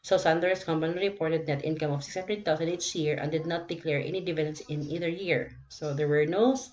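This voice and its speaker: A woman's voice: sits low, steady tone, a little fast, darkish tone. A woman with a Filipino accent teaching as a lecturer, explaining worked problems step by step and often checking in with short tag words. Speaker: accounting lecturer. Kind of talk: recorded lecture working through problems. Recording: dull lo-fi voice recording.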